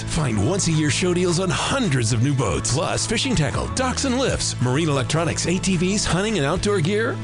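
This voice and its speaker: A man reading a scripted event commercial as an announcer. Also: fairly high pitch.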